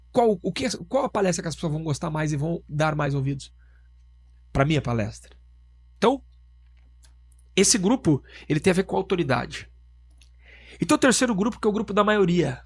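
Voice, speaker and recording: Husky, emphatic Southern Brazilian man. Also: high-pitched. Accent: Southern Brazilian Portuguese (Rio Grande do Sul). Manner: emphatic, asks rhetorical questions. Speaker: business owner guest. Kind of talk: casual podcast conversation.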